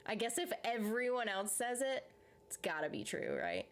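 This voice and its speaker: A woman's voice: a little high, wildly swooping pitch, normal speed. A woman with a Southern US accent who hosts a reaction channel, chatting casually and rambling as she answers her viewers' comments. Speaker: YouTube reaction host. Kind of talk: casual live chat with viewers.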